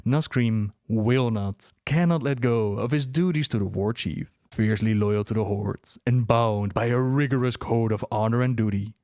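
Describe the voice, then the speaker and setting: A man's voice: mid-range voice, darkish tone, no room sound. A man narrating scripted lore as a dramatic storyteller, close to the mic. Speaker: video narrator.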